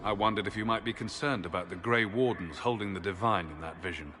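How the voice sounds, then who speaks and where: Bright, forceful, sardonic champion. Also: male voice, deep voice, fast. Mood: accusing. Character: sardonic, combative male fantasy champion. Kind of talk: fantasy video game acting.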